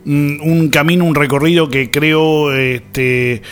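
A man's voice: on the high side, little pitch movement, slow, fairly bright tone. A man with a Buenos Aires accent hosting a radio show, talking in a rambling, conversational way full of small anecdotes. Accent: Argentine, Buenos Aires. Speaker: radio host. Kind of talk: casual radio chat.

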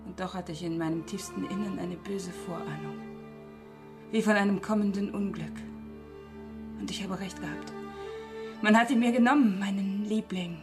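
A woman's voice: medium pitch, moderate pace, long pauses, close to an everyday voice. Distraught, confiding mother played by an actress over music. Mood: grieving. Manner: agitated, confessional, swinging between outburst and murmur. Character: distraught, embittered married mother confiding dark secrets. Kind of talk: radio drama acting. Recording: prominent music under the voice.

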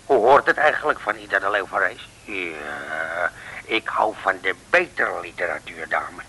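An actor playing a simple, chatty lighthouse keeper in an old comic radio serial, telling things in an earnest, folksy way. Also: male voice, sits low, animated, unhurried, bright, forceful tone, husky, exaggerated voice. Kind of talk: 1960s Dutch comic radio serial acting. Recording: hissy old radio recording.

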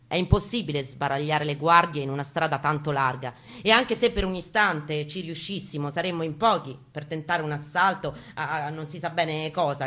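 A woman giving a theatrical, expressive first-person reading from a book, in a storytelling style. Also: low voice. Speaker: reader performing a book excerpt. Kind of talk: dramatic first-person literary reading with guitar. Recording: live reading over acoustic guitar. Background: acoustic guitar music.

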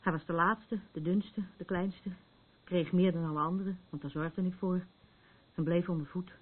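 Husky troubled woman on a tinny line. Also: fairly low pitch, steady tone. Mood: wistful. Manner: halting, repetitive, rambling. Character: troubled, battered woman, anxious, defending her abuser, insisting she is sober. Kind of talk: radio play acting. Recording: muffled, phone-like narrow band.

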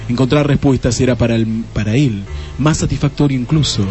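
A man's voice: mid-range voice, fairly quick, mellow, soft background music, lightly acted. An actor playing the narrator of a comic detective radio play, a man reading scripted storytelling in Spanish.

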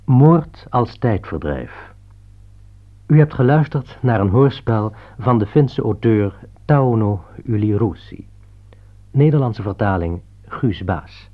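Slow male announcer, thin narrow-band sound. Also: on the low side, expressive. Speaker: announcer reading radio play credits. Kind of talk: formal scripted announcement. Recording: narrow, phone-like old radio recording.

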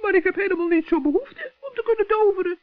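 A man voicing a good-hearted, stubborn little forest gnome in a children's radio play, squeaky, creaky and earnest. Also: expressive, fast talker, no echo. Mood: puzzled, doubtful. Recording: dull, dry vintage radio recording.

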